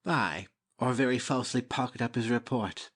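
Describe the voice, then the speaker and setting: A man's voice: medium pitch, moderate pace, close to an everyday voice. A man playing a cynical, idle-witted nobleman who delivers sneering quips in a Shakespeare audiobook. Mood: mocking. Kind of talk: dramatic Shakespeare audiobook reading.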